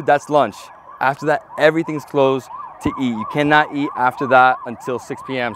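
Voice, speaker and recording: A man's voice: medium pitch, noisy background. Man, 30s, travel vlog host, lapel mic outdoors, talkative and upbeat, switches languages. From United States. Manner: chatty, excited, switches between languages. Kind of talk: casual travel vlog chat. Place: outdoors on a bridge and city streets.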